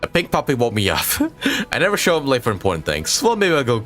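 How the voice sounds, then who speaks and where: A man's voice: mid-range voice, wildly swooping pitch, fast, fairly bright tone, faint music behind. A man giving casual live reaction commentary on a video game, joking and sarcastic, with some swearing.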